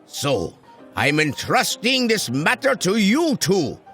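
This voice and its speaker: An actor playing a calm, patient small-town cartoon sheriff, measured, folksy and diplomatic. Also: male voice, high-pitched, faint music behind, heavily acted. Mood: calm, firm.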